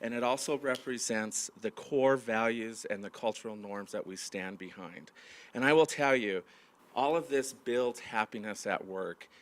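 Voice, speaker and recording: Man losing his voice, halting speech. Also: medium pitch. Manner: halting, many fillers and restarts. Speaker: county manager hosting a staff meeting. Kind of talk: opening remarks to a large audience. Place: large meeting room with audience.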